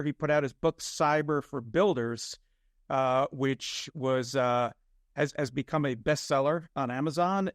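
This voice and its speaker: A middle-aged man hosting a podcast interview in a friendly, measured way, with frequent "uh" and "um". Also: on the high side, no echo, studio quality. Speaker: podcast host.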